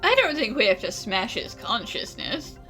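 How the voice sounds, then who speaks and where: A woman's voice: fairly high pitch, big pitch swings, normal speed, bright, forceful tone, soft background music. A woman hosting a gaming channel, making casual, wry comments over a story game. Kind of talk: casual let's-play commentary.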